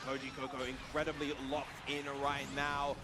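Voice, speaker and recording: A man's voice: mid-range voice, completely flat delivery, moderate pace, noisy background. A man giving emphatic, analytical live commentary on a fighting game tournament. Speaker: esports commentator.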